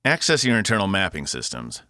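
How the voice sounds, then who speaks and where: A man's voice: low-pitched, wildly swooping pitch, a little fast, pushed and bright, no room sound, clean studio sound, pitched above the performer's own voice, heavily acted. A man voicing a determined, curt space-crew engineer who gives orders under pressure in an audiobook. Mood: curt, commanding. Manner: curt, commanding.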